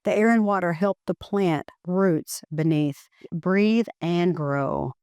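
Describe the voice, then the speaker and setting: A woman reads a children's educational picture book aloud in measured, deliberate phrasing. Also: fairly low pitch, a little mellow, clear, resonant tone, dry recording.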